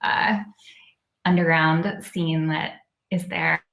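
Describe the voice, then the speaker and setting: Female author guest, informal and conversational, lowish pitch, very roomy recording. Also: steady tone, takes it slowly, creaky, a bit bright. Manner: informal, with frequent "like" and "kind of". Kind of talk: casual interview talk. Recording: very roomy, echoey sound.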